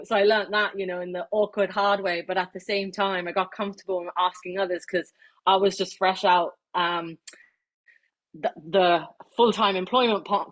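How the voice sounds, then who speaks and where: A woman giving an informal online presentation, chatty, with many fillers and restarts. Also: lowish voice. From not Irish, living in Ireland. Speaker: webinar speaker. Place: online webinar, video call.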